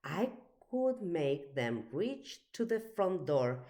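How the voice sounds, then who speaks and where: A woman's voice: fairly low pitch, big pitch swings, slow pace, mellow, full character voice. A woman voicing a possessive, grumpy young boy in a storybook, speaking in a curt, emphatic way. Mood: boastful.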